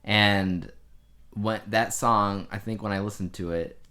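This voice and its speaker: Low-voiced man chatting as podcast co-host. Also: fairly even intonation, unhurried, sharp tone. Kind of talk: casual chat.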